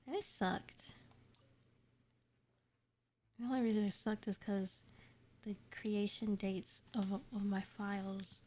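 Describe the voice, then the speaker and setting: A woman's voice: medium pitch, fairly even intonation, takes it slowly, long pauses, dark tone. A woman livestreaming a game, chatting casually in a rambling way while she plays. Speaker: game streamer. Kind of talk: casual gaming livestream chat. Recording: clearly noisy livestream audio.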